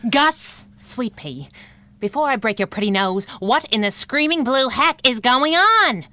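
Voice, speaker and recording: A woman's voice: sits high, sing-song, measured pace, sharp tone, dry recording, full character voice. A woman playing a feisty, wisecracking masked heroine in a 1930s-style radio adventure, sassy and punchy with old slang. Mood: tough, determined.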